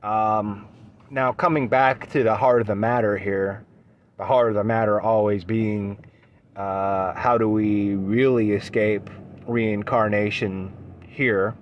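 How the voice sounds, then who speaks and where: A man giving an unscripted solo talk for his video channel, measured and thoughtful, with frequent pauses, ums and restarts. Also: lowish voice, muffled recording, slight background noise. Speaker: esoteric channel host.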